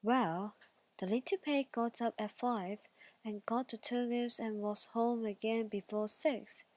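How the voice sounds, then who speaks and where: Slow-paced woman reading a bedtime story. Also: on the high side, fairly even intonation, dry recording. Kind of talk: scripted bedtime story narration.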